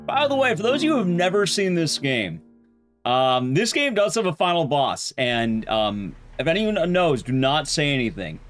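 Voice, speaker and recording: A man talks as a livestream host in a casual, slangy way. His pitch moves around a lot, swinging from emphatic points to teasing hints. Music plays softly behind his voice. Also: very animated, normal speed. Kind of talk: casual game stream commentary, chatting with viewers.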